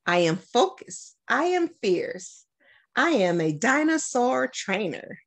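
A middle-aged American woman from Detroit, a school principal, doing an expressive storytime read-aloud with deliberate phrasing. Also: on the high side. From Detroit, United States. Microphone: webcam at about arm's length.

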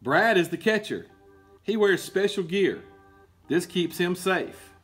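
A middle-aged man reading a picture book aloud to children as a coach, explaining in a deliberate, emphatic, instructive way. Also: high-pitched, animated, a bit bright, husky, soft background music. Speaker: baseball coach and PE teacher. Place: indoor school room with book shelves.

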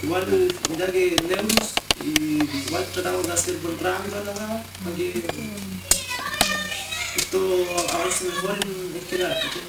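A man's voice: high-pitched, fairly even intonation, moderate pace, creaky, distant mic. A young Chilean man, a rapper, introducing himself in slangy, mumbling street talk. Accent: Chilean Spanish. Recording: very echoey hissy camcorder tape from afar.

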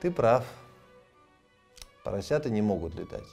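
Guy doing a little piglet from a kids storybook, mellow but emphatic, eager and proud. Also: on the low side, expressive, unhurried, vocal fry, soft background music. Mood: dejected. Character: young male piglet, eager, proud dreamer.

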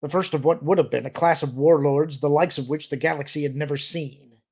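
Fanatical, vengeful military major, acted by a male narrator. Agitated, sneering, insistent, gravel in the throat, forceful and loud. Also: a little high.

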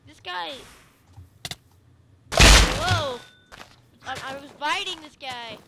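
A woman's voice: very high-pitched, huge pitch swings, extremely slow, gravelly. A young woman chatting casually while playing a video game, as host of a gaming video. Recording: clicky, noisy home gaming recording.

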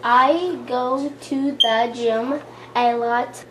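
A woman's voice: a little high, fairly even intonation, drawn-out words, background noise. A young woman voicing a spoiled, rich young girl doll with an airy, drawling manner.

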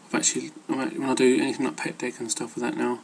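A man's voice: mid-range voice, level tone, very fast, creaky, breathy edge. A man giving casual, rambling advice as an amateur fitness vlogger, hesitant and loosely worded.

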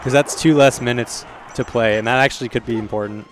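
A man's voice: mid-range voice, fairly even intonation, quick pace, a little hiss or hum. A man giving live color commentary at a fighting-game tournament, explaining the technical side of the play. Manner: analytical, explains technical situations. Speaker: esports commentator. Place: tournament venue.

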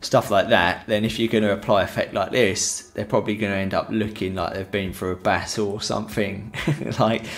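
A man's voice: lowish voice, steady tone, moderate pace, soft background music. A young man with a headset mic, giving a rambling, chatty photography tutorial in a room at home. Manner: rambling, chatty, many fillers. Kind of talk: casual live-stream tutorial.

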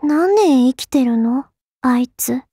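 High, poised young space goddess. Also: female voice, animated, slow, mellow, breathy edge, no echo, studio quality, full character voice. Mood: dignified. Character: proud young space goddess swordswoman, cool, dignified, easily flustered. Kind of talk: anime game character voice lines.

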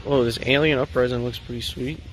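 A man's voice: mid-range voice, steady tone, brisk, faint music behind, rough recording. A young man filming on his phone in a large indoor store, making teasing, wisecracking comments and laughing often. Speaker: vlogger filming. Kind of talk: casual joking commentary. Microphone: handheld phone camera.

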